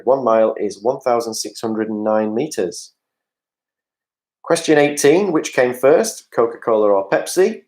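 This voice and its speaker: A man's voice: mid-range voice, darkish tone. Guy running a virtual pub quiz, reads answers out, cracks jokes, video call sound. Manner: jokey, chatty asides to players, reads questions aloud. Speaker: quiz host.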